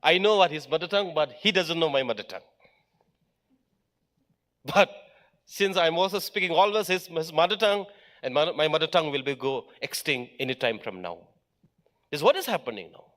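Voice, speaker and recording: Man, middle-aged, formal speech, emphatic short phrases, lively rising and falling voice, slightly high. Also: a little fast, pauses often. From Meghalaya, India. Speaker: official speaker at an education event.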